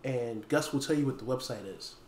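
A man in his thirties talking casually to camera as a store owner making an announcement, pausing often mid-phrase. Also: medium pitch, a little mellow. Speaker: small game store owner and video host. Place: dim indoor room with wooden floor. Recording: echoey room, faint background noise.

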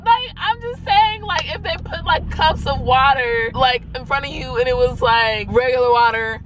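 A woman's voice: high-pitched, moderate pace. A young woman co-hosting a vlog from the passenger seat, bantering with laughter and mock exasperation, picked up by a dashboard camera. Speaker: vlog co-host. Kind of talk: casual chat. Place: inside a car. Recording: car with road noise.

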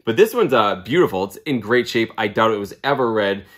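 A man's voice: on the low side, lively intonation, a little fast, fairly bright tone. A man in his thirties, hosting a casual comic book video blog, talking upbeat and chatty with lots of fillers in a small room. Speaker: comic book channel host. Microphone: camera at close range.

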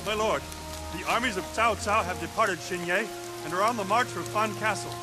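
An actor playing an urgent, dutiful army messenger in a video game cutscene. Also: male voice, sits high, brisk, music in the background, natural voice. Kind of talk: dramatic English video game cutscene acting.

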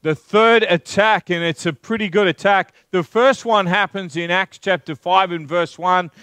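Australian pastor, chatty preaching style. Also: male voice, high voice, fairly even intonation, fairly bright tone, clear, resonant tone, no echo. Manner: conversational preacher, asks the congregation questions, stresses words slowly. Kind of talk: sermon. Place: large church hall with carpeted stage.

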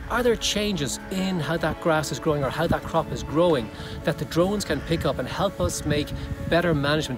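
A man, a professor giving a brisk outdoor talk to prospective students from a cow pasture. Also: a little high. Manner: brisk, list-like explaining. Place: open pasture outdoors with cows around. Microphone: phone or camera held close at selfie range. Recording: music bed under the voice.

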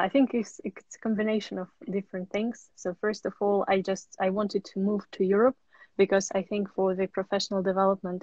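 Young woman, flat even delivery, dry close sound, muffled phone-call audio. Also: mid-range voice, no echo. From Russia. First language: Russian. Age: young adult. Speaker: guest artist. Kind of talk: casual interview answers. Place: indoor room at home. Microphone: video call on phone.

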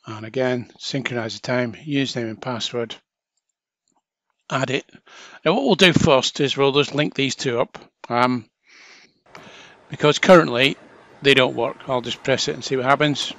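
A man narrating a step-by-step software tutorial in an informal, chatty way, talking through each action as an instructor. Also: mid-range voice, moderate pace, no room sound. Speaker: training video instructor.

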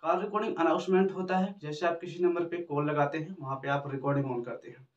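A man's voice: a little high, steady tone, fast talker. A man, explaining phone settings step by step to camera in a repetitive tutorial manner. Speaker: tech tutorial host. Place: small indoor room with backdrop. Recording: roomy, distant mic, dull muffled sound.

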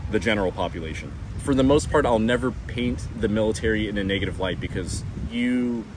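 Blunt American man in a park. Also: fairly low pitch. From California. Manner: blunt, casual, talks with his hands. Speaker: vlogger. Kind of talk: candid talk to camera. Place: sunny city park outdoors. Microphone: wireless clip-on mic, camera on tripod. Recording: outdoor park with traffic noise.

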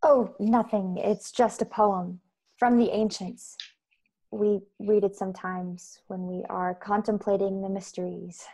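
A woman's voice: medium pitch, level tone, warm tone, low-quality recording. Woman acting earnest, formal young rebel. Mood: reserved. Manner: measured, formal diction. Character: earnest, proud young woman of secret society, far future. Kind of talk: science-fiction radio play acting. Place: bedroom on video call. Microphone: earbud headset.

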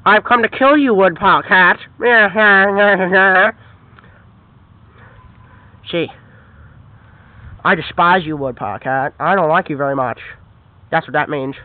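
Performer doing a gruff dog villain, low and growly, threatening; tinny phone sound. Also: a little slow, pauses often, lightly acted. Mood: menacing.

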